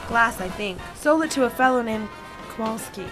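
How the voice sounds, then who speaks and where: A woman's voice: fairly high pitch, animated, moderate pace, a bit bright, soft background music. A woman playing a surly, suspicious bartender and henchman in a detective radio drama, blunt and slangy. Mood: blunt, wary. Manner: slangy, blunt, folksy tough talk.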